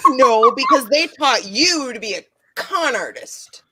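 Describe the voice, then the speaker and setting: A woman's voice: a little high, very animated, unhurried, sharp tone, breathy edge, heavily acted. A woman acting a proud, scornful young warrior in a comedic script read.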